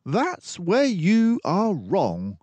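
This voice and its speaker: Here is a man reading aloud as a storybook mouse detective, confident and formal. The voice sits high above a normal man's and swings widely as it lectures with precise self-assurance. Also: huge pitch swings, extremely slow, a little mellow, dry recording, exaggerated voice. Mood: self-assured, brisk.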